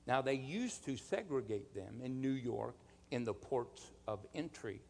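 Male preacher, mid-pitched, slow and deliberate sermon delivery, long hall reverb. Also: expressive, gravelly, darkish tone. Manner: emphatic, deliberate, rhetorical questions and calls for amen.

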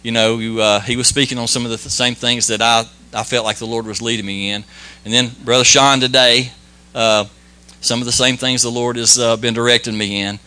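Folksy preacher man, bright tone. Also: medium pitch, level tone, clean tone. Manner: halting and folksy, many restarts and fillers; modest, plain-spoken. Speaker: lay preacher. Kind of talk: informal church sermon with prayer.

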